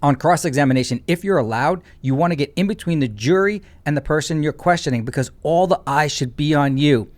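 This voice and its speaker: A male legal commentator with a slightly high voice reacts to courtroom testimony. He is blunt and opinionated, with a mocking edge to his remarks. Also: fairly quick, few pauses. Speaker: lawyer commentator and host.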